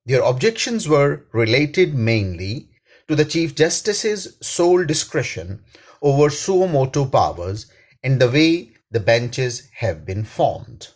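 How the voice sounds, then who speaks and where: A man with a Pakistani accent reading a written editorial aloud in a formal, deliberate manner. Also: mid-range voice, sing-song. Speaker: reader, attorney and law professor. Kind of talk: scripted read-aloud of a newspaper editorial.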